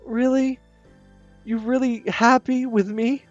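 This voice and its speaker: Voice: mid-range voice, steady tone, slow, no room sound, highs cut off, faint music behind, voice pushed up, natural voice. A gamer reading a visual novel aloud, voicing a shy teenage schoolgirl who pleads with a wavering voice. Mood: tender.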